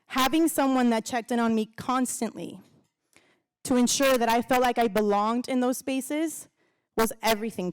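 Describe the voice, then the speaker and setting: Smooth-voiced woman giving a gala speech. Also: on the high side, dry recording. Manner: heartfelt, laughs between lines. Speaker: co-emcee and alum speaker. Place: large event hall, on stage. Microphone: handheld microphone.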